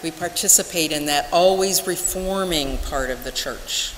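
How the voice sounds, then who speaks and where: Casting: older female minister, very deliberate slow sermon delivery, reverberant sanctuary. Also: deep voice, fairly bright tone. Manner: measured, deliberate, with emphatic pauses. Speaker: minister preaching. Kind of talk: church sermon. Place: large wooden church sanctuary, at the pulpit. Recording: echoey church hall with hiss.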